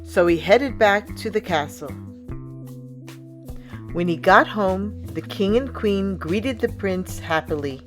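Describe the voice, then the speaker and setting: A woman reading a children's picture book aloud as a storyteller, in an expressive, deliberate way. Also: medium pitch, soft background music. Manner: deliberate, expressive storybook delivery with acted sound words.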